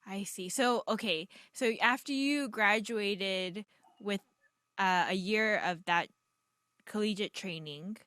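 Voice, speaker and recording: A bright, forward female voice in a dry recording. She is a podcast host chatting informally with a guest, curious and expressive in how she asks and reacts. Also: medium pitch, slow, no echo. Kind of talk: casual interview chat.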